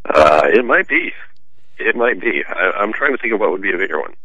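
A man's voice: low-pitched, expressive, fairly quick, sharp tone, no echo, lo-fi sound. A man, a sportswriter guest on a radio show, talking casually and thinking aloud with fillers. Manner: thoughtful, hesitant with fillers.

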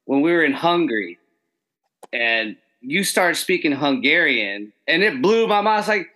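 A man hosting a casual podcast interview over a video call, excitable and slangy, saying dude a lot. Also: fairly high pitch, unhurried, poor audio. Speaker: podcast host.

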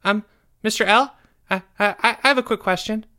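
A man voicing a nervous, guilty young schoolboy in a story, stammering and evasive in halting, hurried bursts. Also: sits high, sharp tone, voice pushed up. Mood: nervous, sheepish.

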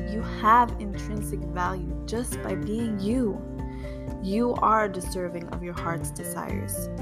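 A woman of about thirty hosting a podcast, speaking in an earnest, introspective monologue. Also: mid-range voice. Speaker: podcast host. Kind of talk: reflective personal monologue.

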